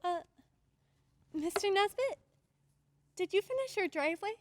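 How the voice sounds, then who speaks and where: A woman's voice: very high voice, lively intonation, measured pace, background noise. A woman acting a plucky, inquisitive little girl in an old-time radio drama, earnest and artless as she probes. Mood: feigned innocence.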